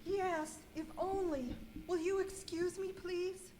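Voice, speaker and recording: A woman's voice: very high-pitched, steady tone, takes it slowly. A woman playing a prim, demure saleswoman with a secret superhero identity in an amateur radio skit. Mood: coy. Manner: prim, formal. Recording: echoey hall with noise and clicks.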